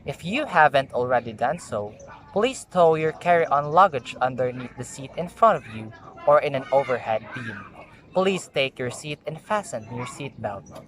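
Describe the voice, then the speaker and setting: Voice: mid-range voice, animated, normal speed, a bit bright, slight background noise. A young adult with a Filipino accent, practicing scripted announcements and chatting as an amateur vlogger, with careful but stumbling delivery. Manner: careful, formal reading with stumbles and retakes. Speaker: tourism student practicing flight attendant announcements. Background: music, barking dog at times.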